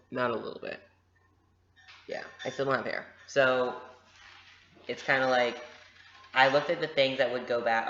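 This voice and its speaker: Young man, casual vlogger, direct and unfiltered, mid-pitched, slightly muffled home recording. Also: fairly bright tone, reverberant. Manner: frank, direct, many fillers. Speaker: vlogger answering viewer questions. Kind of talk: casual Q&A vlog. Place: home room with a pet bird. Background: bird noises.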